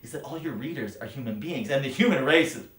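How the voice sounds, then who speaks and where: An actor playing a bitter, brutally frank writing teacher in a theatrical monologue, speaking with scorn, emphasis and profanity. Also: male voice, low-pitched, moderate pace, close to an everyday voice. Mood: heated. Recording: roomy echo, light background noise.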